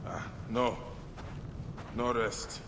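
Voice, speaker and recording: An actor playing a grim, vengeful Medjay warrior in a video game cutscene, terse and grave with dry teasing. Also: male voice, medium pitch, very slow. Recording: long reverb, steady background noise.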